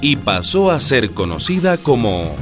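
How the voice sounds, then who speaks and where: An actor narrating a historical radio drama, as a storyteller of revolution. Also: male voice, medium pitch, big pitch swings, measured pace. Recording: music bed under the voice.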